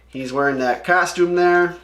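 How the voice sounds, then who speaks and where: A man's voice: high-pitched, measured pace, fairly bright tone, reverberant. A man doing casual read-along commentary, rambling with slangy, sweary asides. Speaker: host of comic read-along videos.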